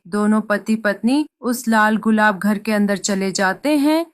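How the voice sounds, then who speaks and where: A woman's voice: mid-range voice, dry recording, studio quality. A woman narrator reading a scripted moral story for a cartoon.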